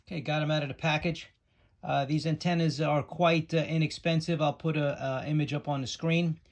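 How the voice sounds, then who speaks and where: A man giving a casual, unscripted hands-on product demo, halting and pausing as he goes. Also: fairly high pitch, steady tone. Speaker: radio hobby reviewer, video host. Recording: loud radio static and hiss behind. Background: shortwave radio noise.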